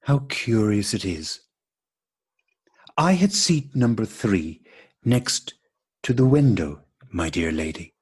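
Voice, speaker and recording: A man playing a courteous, puzzled gentleman with formal, mock-astonished delivery in an absurdist radio play. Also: on the low side, lively intonation, takes it slowly, long pauses, breathy edge, exaggerated voice. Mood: polite puzzlement. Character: courteous, puzzled English gentleman meeting a lady. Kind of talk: absurdist stage dialogue read as radio play.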